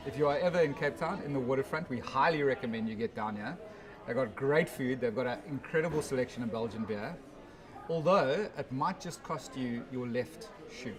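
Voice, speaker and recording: A man's voice: mid-range voice, fairly quick, music in the background. A man co-hosting a casual beer tasting show, playful and jokey as he presents.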